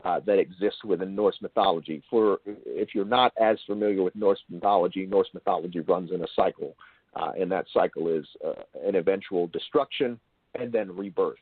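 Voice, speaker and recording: A man with a lowish voice hosts an interview over a thin, phone-like connection. He speaks in a halting, bookish way, building long and wordy questions. The sound is dry, with little room to it. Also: breathy edge, dry recording. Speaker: interviewer and show host. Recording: thin phone-like call audio.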